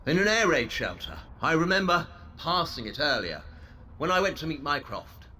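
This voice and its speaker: An actor playing a brilliant, arrogant, condescending detective in an audio drama, with a clipped, sarcastic, lecturing manner. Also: male voice, on the high side, big pitch swings, normal speed, pushed and bright, heavily acted. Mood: brisk.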